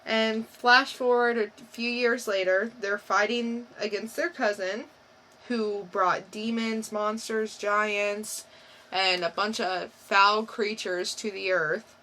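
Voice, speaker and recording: A woman co-hosting a podcast, giving a casual unscripted review in a halting, unsure way, thinking aloud. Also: medium pitch, fairly even intonation, bright, forceful tone, a little hiss or hum, rough recording. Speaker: podcast co-host reviewing comics.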